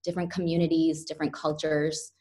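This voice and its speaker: A young woman with a lowish, fairly deep voice talks through her story as a podcast guest. She is from the United States and speaks earnestly and reflectively, often restarting her sentences. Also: little pitch movement, fairly quick, a little mellow. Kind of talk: interview conversation.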